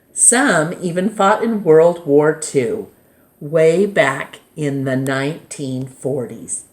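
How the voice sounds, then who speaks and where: A woman with an American accent and a low-pitched voice reads a children's history book aloud. She takes her time, delivering short phrases one by one with clear pauses, like a teacher at storytime.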